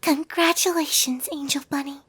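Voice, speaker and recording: A woman voicing earnest, easily flustered young female cartoon ponies in a story reading, expressive and dramatic. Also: high-pitched, normal speed, voice pushed up. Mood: quiet, tense. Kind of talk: character dialogue in a fan story reading.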